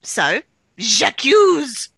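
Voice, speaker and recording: A woman's voice: fairly high pitch, big pitch swings, very slow, vocal fry, bright, forceful tone, husky, no room sound, clean studio sound. A woman co-presenting a sponsor appeal in playful, mock-dramatic scripted banter.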